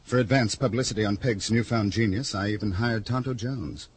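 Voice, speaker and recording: Actor playing an oily, greedy publisher. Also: male voice, lowish voice, fairly quick, slight background noise, close to an everyday voice. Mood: ingratiating. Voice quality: smooth, ingratiating, fussy. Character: oily, greedy middle-aged male publisher. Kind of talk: 1950s radio drama acting.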